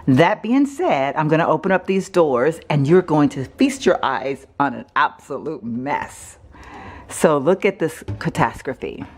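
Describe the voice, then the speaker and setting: A middle-aged woman from Texas, hoarse from allergies, chatting casually through a home vlog in her kitchen, with many fillers and self-corrections. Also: deep voice, lively intonation, a little slow. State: raspy from allergies. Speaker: home organizing vlogger. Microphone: handheld camera mic, filming off screen.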